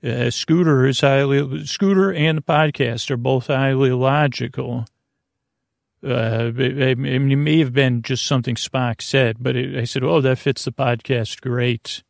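A man with a creaky, fry-laden voice of middling pitch hosts a bedtime podcast. He wanders through tangents, mumbles and repeats himself in a lulling manner. The sound is dry, with no room around it. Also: steady tone, measured pace, no room sound. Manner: meandering, mumbling, repetitive, with tangents; lulling, soothing delivery. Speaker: podcast host. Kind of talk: rambling bedtime sleep podcast introduction.

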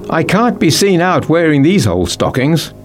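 A man's voice: fairly high pitch, sing-song, normal speed, soft background music, voice pushed up. Man playing old grandmother, storybook reading, fussy, reserved.

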